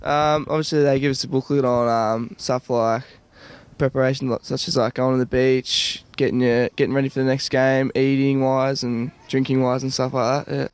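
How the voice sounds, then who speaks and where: Smooth, flat-toned young Australian man. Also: mid-range voice, no echo. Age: young adult. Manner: plain, unpolished, halting. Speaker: junior Australian rules footballer. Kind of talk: casual interview answers.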